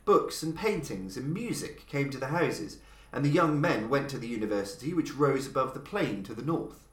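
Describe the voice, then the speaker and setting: A man hosting a podcast and reading a classic horror story in a measured, dramatic narrating style. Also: reverberant. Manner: measured, dramatic delivery with lively pitch swings. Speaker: podcast host and reader.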